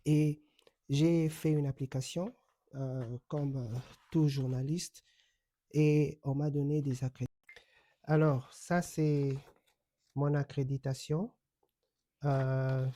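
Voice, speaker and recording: Dark-voiced man explaining things slowly. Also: a little high, no echo. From Congo. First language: Kikongo. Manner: measured, explanatory, with frequent hesitations. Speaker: online commentator and accredited journalist. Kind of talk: direct-to-camera commentary. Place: home in the United States.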